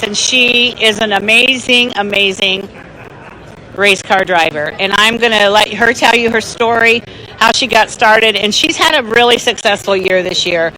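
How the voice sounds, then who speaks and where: A woman's voice: mid-range voice, bright, forceful tone, rough recording. A woman hosting chatty live interviews in a rambling, encouraging way. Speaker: interview host. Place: booth at a busy indoor trade show. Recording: busy crowd noise behind. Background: crowd chatter.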